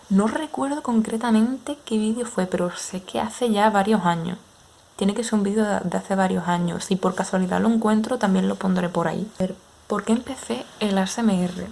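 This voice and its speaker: A young woman in her twenties with an Andalusian accent, answering viewers' questions in a relaxed, hesitant way with many fillers. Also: medium pitch. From Andalusia, Spain. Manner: unhurried, hesitant with frequent fillers. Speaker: ASMR creator. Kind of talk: casual Q&A chat with viewers.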